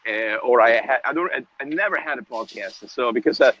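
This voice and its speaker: A man whose first language is Italian, a music business lecturer talking as a guest, enthusiastic but halting with many fillers. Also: mid-range voice, animated, fairly bright tone. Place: Dublin, Ireland. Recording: dull lo-fi app call with faint noise.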